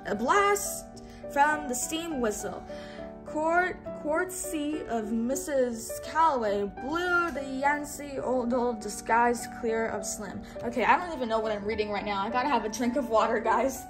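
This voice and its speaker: A woman's voice: fairly high pitch, a little slow, over music. A woman hosting a children's read-along, reading a storybook aloud in a teacherly way and inviting listeners to repeat words.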